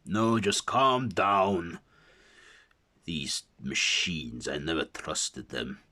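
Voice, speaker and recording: A man's voice: sits low, sing-song, very slow, bright, forceful tone, heavily acted. A man voicing a proud old vampire prince in a stately, disdainful manner for a dramatic story. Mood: stately, wary.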